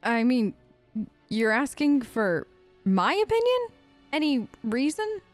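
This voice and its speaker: A woman's voice: high voice, sing-song, slow pace, dry recording, faint music behind. A woman hosting a let's play, improvising role-play dialogue and commentary in an informal, conversational way.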